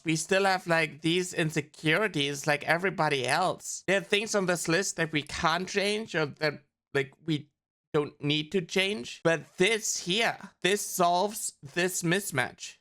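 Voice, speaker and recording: A woman's voice: on the low side, fairly bright tone. A young adult woman streaming as a VTuber, explaining a subject in an earnest, casual way, with lots of "like" fillers.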